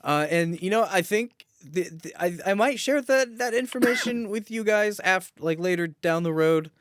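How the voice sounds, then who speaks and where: A man co-hosting a podcast in casual, teasing banter with a friend, speaking in an animated and sometimes profane way. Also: sits high, moderate pace, no room sound, clean studio sound. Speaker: podcast co-host.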